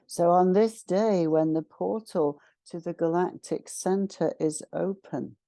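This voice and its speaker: A woman leading a spiritual guided meditation in a solemn, ceremonial manner, speaking in short measured phrases with long pauses. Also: fairly low pitch, dark tone, no echo. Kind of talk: guided meditation, spiritual invocation and channelled message.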